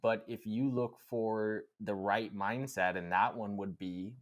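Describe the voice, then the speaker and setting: A man with a very deep voice chats on a podcast in a relaxed, reflective way. He leans on "like" and "right?" as he builds his points, keeping things unhurried. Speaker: podcast co-host. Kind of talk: casual podcast conversation.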